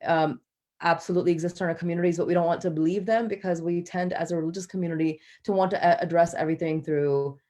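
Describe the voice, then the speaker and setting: A woman's voice: lowish voice, steady tone, fast, warm tone. A woman giving a candid answer on an online panel, emphatic, building her points through repeated phrasing. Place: online video call.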